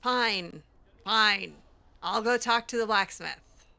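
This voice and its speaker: Slow-paced, bright-voiced woman gamer. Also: medium pitch, gravelly, dry recording. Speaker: gaming video host, role-player. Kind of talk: casual in-character gameplay commentary.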